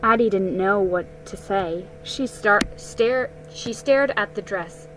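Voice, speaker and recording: A woman giving a dramatic reading of a children's story, careful and a little halting. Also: mid-range voice, background noise. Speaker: storybook reader.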